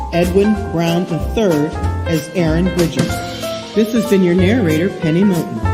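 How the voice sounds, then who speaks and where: An American woman narrator reading scripted closing credits and sign-off for an audio drama. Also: lowish voice. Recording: loud music under the voice. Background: music.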